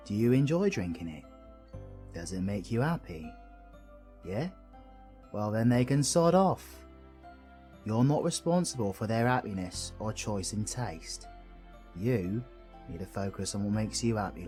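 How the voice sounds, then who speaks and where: Man acting cheeky British supervillain, lively low voice. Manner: chummy, slangy, mildly sweary, reassuring. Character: cheeky, kind-hearted male supervillain comforting someone. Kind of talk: scripted audio roleplay addressed to the listener.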